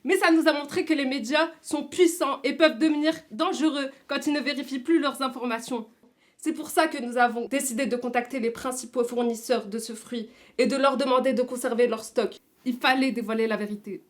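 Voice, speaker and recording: A woman's voice: high-pitched, steady tone, fairly quick, close to an everyday voice. A woman playing an earnest female spokesperson explaining things in an audio drama. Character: earnest young female activist or expert making revelations.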